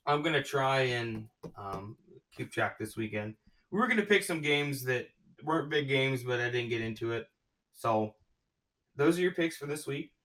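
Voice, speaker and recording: An excitable man hosts a casual sports chat, gushing over his picks and tripping into restarts as he talks. His voice sits in a middle range. He sounds set back a little from the microphone. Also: medium pitch, normal speed, far from the mic, low-quality recording. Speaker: podcast host.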